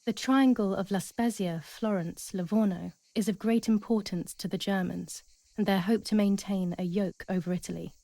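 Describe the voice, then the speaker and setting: An actress playing a resolute wartime radio announcer, measured and formal, reading a scripted 1940s propaganda broadcast in a game. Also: female voice, mid-range voice, steady tone, gravelly, mellow, no echo, close to an everyday voice, poor audio. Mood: solemn, resolute.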